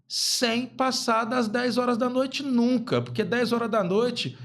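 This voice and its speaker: A man's voice: extremely high pitch, measured pace, fairly bright tone. A man in his fifties, a guest physician on a podcast, speaking emphatically and repeating key phrases. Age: 50s. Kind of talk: podcast interview talk.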